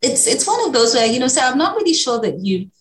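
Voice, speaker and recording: A woman's voice: sits high, very animated, quick pace, roomy sound, slight background noise. A woman from Kenya with a Kenyan accent, answering interview questions in a reflective, conversational way. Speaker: interview guest, executive director.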